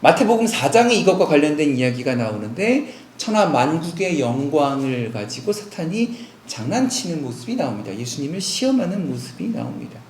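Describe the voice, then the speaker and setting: Guy pastor preaching, voice swings up and down a lot, kinda high, echoey church room. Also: a little fast, hardly any pauses, a little hiss or hum. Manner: animated preaching, rhetorical questions to the congregation. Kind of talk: sermon. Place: church sanctuary.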